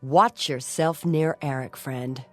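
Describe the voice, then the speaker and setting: A blunt fantasy game mead hall greeter with a very low voice. She speaks plainly in a dry, close recording. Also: fairly even intonation, slow, faint music behind, full character voice. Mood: blunt, welcoming.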